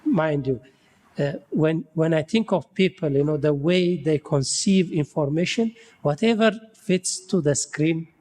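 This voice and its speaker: A man's voice: on the high side, measured pace, a little mellow, big-room sound. A man from Ethiopia speaking on a panel about buildings and climate, thinking aloud and tagging "you know" onto his sentences. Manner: frequent "you know" tags, searching for words. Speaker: building science researcher. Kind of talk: panel discussion.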